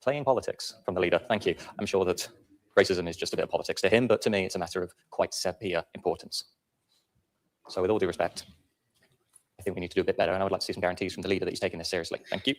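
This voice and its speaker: A man's voice: deep voice, steady tone, very fast. A man speaking as a county councillor in a council debate, pointed and insistent. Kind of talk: formal council debate speech.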